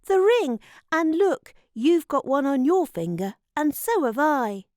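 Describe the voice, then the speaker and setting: Lady doing a young boy in a kids story, high mellow voice, eager, bit puzzled, earnest. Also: very animated, measured pace, dry recording, studio quality. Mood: excited.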